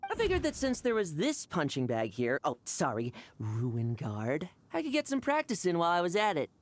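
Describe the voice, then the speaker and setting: A woman's voice: lowish voice, huge pitch swings, normal speed. A woman voicing a brash, upbeat young male knight and wanderer in an anime video game. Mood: upbeat, friendly.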